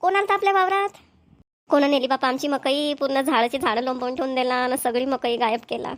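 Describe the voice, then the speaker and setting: Woman voicing a high-pitched, fretful village farm wife. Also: fairly even intonation, brisk, close to an everyday voice. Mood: upset, scolding. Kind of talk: Marathi village cartoon comedy dialogue.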